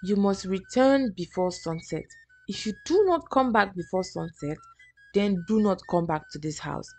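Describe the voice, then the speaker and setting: A woman's voice: mid-range voice, expressive, measured pace, a little mellow. A woman storyteller voicing a stern young husband in a folktale, with a firm, commanding manner. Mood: stern, annoyed. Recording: music behind the voice.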